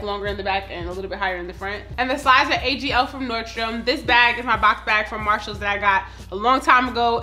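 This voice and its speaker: A woman's voice: a little high, bright, forceful tone. A woman in her thirties chatting through her outfits in a casual fashion vlog, listing where each piece came from, a little stuffy from a cold. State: stuffy, under the weather. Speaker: fashion vlogger. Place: bright living room with plants, indoors.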